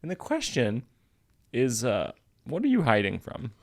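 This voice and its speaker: A man's voice: medium pitch, huge pitch swings, measured pace, vocal fry. A man hosting a casual comedic interview podcast, teasing his guest in a dry, mock-serious way. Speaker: podcast host.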